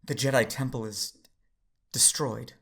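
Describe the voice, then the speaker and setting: An actor playing a steady, weary Jedi father who narrates his own story in an earnest, measured audio drama style. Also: medium pitch, gravelly. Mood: somber, reassuring. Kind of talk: first-person dramatic narration and acting.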